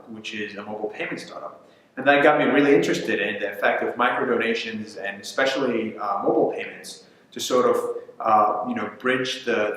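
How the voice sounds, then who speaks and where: A man in his twenties from Israel, a university student, introducing himself in a relaxed way with some hesitant fillers. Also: mid-range voice. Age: 20s. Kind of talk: self-introduction. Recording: very echoey room.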